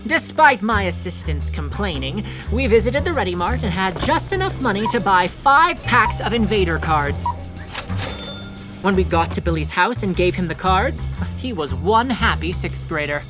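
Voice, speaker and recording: Woman playing an earnest, self-important boy detective, lively. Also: medium pitch, normal speed, music in the background, lightly acted. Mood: composed narrating. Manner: formal, precise, self-important wording. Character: earnest, bossy middle school kid detective boy. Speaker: lead character and narrator. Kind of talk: children's audio drama.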